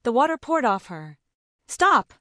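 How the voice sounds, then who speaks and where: A woman storyteller narrating a scripted folktale in a theatrical, suspenseful manner with short measured phrases. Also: fairly high pitch, big pitch swings, no echo, clean studio sound.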